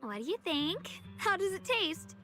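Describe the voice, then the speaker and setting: An actress playing a sweet, caring young woman in an anime-style video game, speaking in a gentle, earnest and slightly hesitant way. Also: very high-pitched, sing-song, a little slow, full character voice. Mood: tender, happy. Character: sweet, caring young woman who bakes, shyly wistful. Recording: background music under voice.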